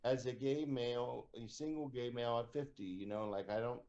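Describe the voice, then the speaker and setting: Mellow American man around fifty, rambling. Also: mid-range voice, fairly even intonation, dull audio. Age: 50s. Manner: halting, rambling, repeats words. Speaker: podcast host. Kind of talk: casual chat.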